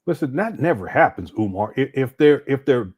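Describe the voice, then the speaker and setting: A man's voice: medium pitch, very animated, normal speed, a little mellow, husky. A man giving casual reaction commentary on a clip, exasperated and laughing, with slangy asides.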